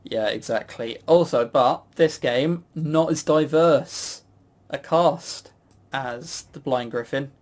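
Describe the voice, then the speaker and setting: A man's voice: a little high, slow pace, darkish tone. A man hosting a casual chat about games, with a sarcastic, mock-grumbling manner. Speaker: podcast host. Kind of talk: casual chat reviewing visual novels.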